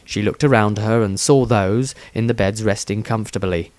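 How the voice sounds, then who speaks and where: A man's voice: lowish voice, moderate pace, a little mellow, no echo. A man narrating a fantasy tale in a scripted, dramatic audiobook style. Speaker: author narrating his own story.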